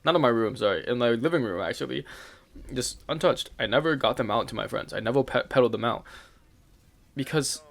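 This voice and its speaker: Young male host, mid-pitched, candid storyteller, rambling and swearing. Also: expressive, fairly quick, creaky, a bit bright. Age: young adult. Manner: laughs now and then while talking. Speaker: channel host. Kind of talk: casual storytelling rant.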